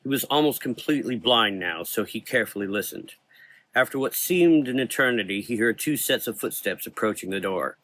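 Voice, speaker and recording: A man's voice: mid-range voice, lively intonation, a little fast, fairly bright tone, dry recording, rough recording. A man reading scripted gothic horror narration as a storyteller.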